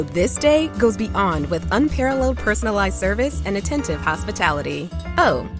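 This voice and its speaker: Woman ad voice-over, lively pitch, fairly low, polished, music underneath. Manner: smooth, polished, upbeat. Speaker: advert voice-over. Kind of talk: scripted ad read.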